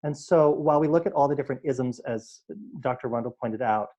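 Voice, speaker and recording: A man's voice: medium pitch, brisk, warm tone, highs cut off. A man explaining emphatically at a board meeting over a video call, with many fillers. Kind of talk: spoken remarks at a board meeting.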